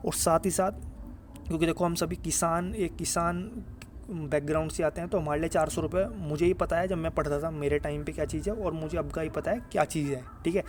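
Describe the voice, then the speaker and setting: Higher-pitched man, noisy recording. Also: steady tone, brisk. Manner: earnest, repetitive, insistent, with frequent check-in tags. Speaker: exam guidance YouTuber. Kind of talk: informal advice talk to students. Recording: clear ambient background noise.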